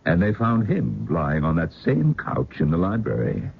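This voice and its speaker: An actor playing a haunted older man, telling ghost tales in a halting, dramatic old-time radio style with muttered pauses. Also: low-pitched, wildly swooping pitch, creaky, husky, full character voice. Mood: hushed, uneasy. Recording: muffled phone-like radio recording, faint noise.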